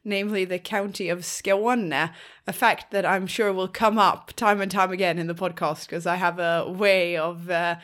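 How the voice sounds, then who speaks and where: A woman's voice: lowish voice, steady tone, normal speed, fairly bright tone. A Swedish woman with a Swedish accent, co-hosting a history podcast in friendly, explanatory casual chat. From Sweden. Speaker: podcast co-host.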